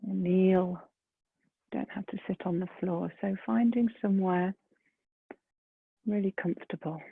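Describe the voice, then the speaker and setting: A woman leading a guided meditation in a gentle, soft, breathy voice, unhurried, with long pauses between phrases. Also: mid-range voice, steady tone, no room sound. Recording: muffled phone-like lo-fi sound.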